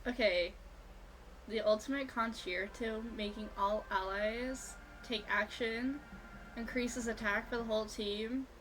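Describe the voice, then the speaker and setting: A woman streaming a video game with casual, excitable, gushing and sweary live commentary. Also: a little high, unhurried, poor audio. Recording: music behind the voice. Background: video game music.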